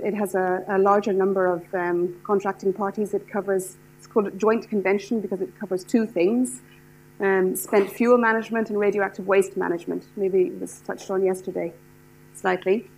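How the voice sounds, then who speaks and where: A middle-aged woman from Ireland with an Irish accent, lecturing a class in a hall, talking fast and eagerly with many fillers and restarts. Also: medium pitch, slight background noise. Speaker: lecturer, standards specialist. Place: lecture hall with seated audience.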